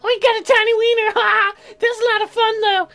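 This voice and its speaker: A man voicing a crude, silly, excitable, childlike woman in a comic visual novel, rambling in a dim-witted way. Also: very high-pitched, a little fast, faint music behind, voice pushed up, exaggerated voice. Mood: goofy, excitable.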